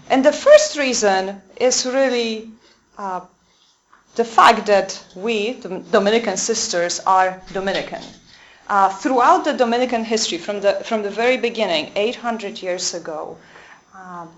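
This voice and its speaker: Polish-accented woman, a nun speaking to a room, hesitant and searching for words, middle pitch, slightly dull recording. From Poland. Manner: hesitant, many fillers and restarts. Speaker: Dominican sister giving a talk. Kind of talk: lecture talk to a small audience. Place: convent room with a live audience.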